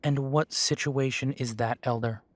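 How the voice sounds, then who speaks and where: A man's voice: medium pitch, fairly even intonation, moderate pace, no room sound, close to an everyday voice. An actor playing a defiant young male werewolf who answers the council with dry, mocking politeness. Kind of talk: audio drama acting.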